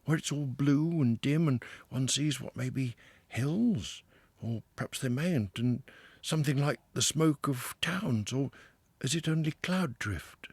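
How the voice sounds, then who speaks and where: A man's voice: medium pitch, unhurried, husky, no room sound, voice pushed up. A man voicing a timid, wondering young male mole who asks eager, nervous questions.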